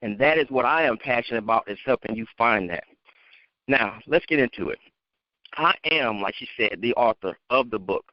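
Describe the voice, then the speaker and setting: A man's voice: medium pitch, no room sound. Male motivational speaker, mid-range, emphatic unscripted delivery, dry lo-fi phone conference sound. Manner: emphatic, direct address to listeners. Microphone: phone conference line. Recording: dry, dull lo-fi conference call.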